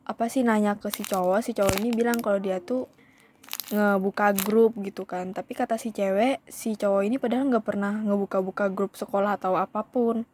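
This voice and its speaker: A woman's voice: medium pitch, steady tone, fairly quick, darkish tone, slight background noise. A young woman vlogger telling a storytime in a casual, chatty way, often calling her viewers "guys" and slipping into English phrases.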